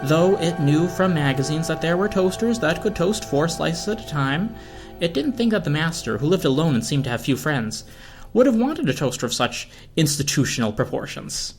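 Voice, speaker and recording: A man narrates a storybook in a dramatic reading, his pitch moving freely and expressively. Film score music plays softly behind him. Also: expressive, moderate pace.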